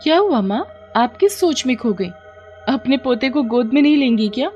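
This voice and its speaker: A woman playing a polite, concerned young village daughter-in-law in a story, speaking gently and inquiringly. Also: sits high, expressive, moderate pace, lo-fi sound, faint music behind, pitched above the performer's own voice.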